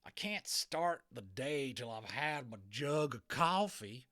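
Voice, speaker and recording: A man's voice: mid-range voice, expressive, takes it slowly, fairly bright tone, voice pushed up. A man voicing a tiny, grumbling cowboy with a drawling Old West American accent in a dramatic storybook reading. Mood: aggrieved grumbling. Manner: folksy, drawling, combative. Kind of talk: dramatic audiobook character reading.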